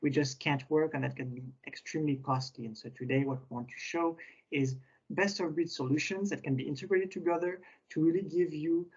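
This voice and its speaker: Hurried man hosting a webinar, slightly high. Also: darkish tone, breathy edge. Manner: hurried, hesitant, many fillers. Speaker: webinar host. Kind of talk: webinar introduction. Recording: dull lo-fi webinar audio.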